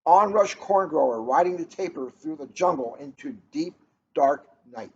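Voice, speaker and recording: A man reading a children's story aloud in an expressive, dramatic way, drawing out key words for emphasis. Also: fairly high pitch, normal speed, slightly rough. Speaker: storybook reader. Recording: noisy, dull lo-fi recording.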